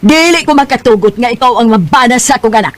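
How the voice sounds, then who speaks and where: A woman's voice: fairly high pitch, animated, fast, faint music behind, lightly acted. A woman playing a stern, scornful Cebuano mother scolding and commanding in a Filipino radio drama. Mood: angry, scornful. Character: stern, scolding mother who rejects her daughter's suitor. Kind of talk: Bisaya radio drama acting.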